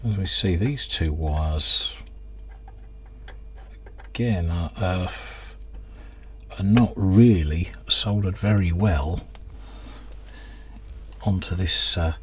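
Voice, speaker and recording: Slow, low-voiced man thinking aloud. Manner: hesitant, thinking aloud while working. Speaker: host of a radio restoration channel. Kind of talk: casual hands-on workbench commentary.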